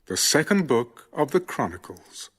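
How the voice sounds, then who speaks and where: A man narrating scripture in a measured, formal, solemn storytelling style. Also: medium pitch, very animated, breathy edge.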